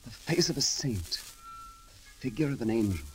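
An actor playing a roguish, glib young poet-thief in a theatrical, flowery 1930s radio drama manner. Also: male voice, mid-range voice, big pitch swings, unhurried, poor audio. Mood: ardent, romantic. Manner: theatrical, flowery, grandly declaiming. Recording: old 1930s radio broadcast, music behind.

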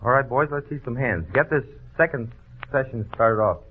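A man's voice: mid-range voice, normal speed. A man speaking as a bandleader in old-time radio quiz show banter. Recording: tinny vintage radio broadcast.

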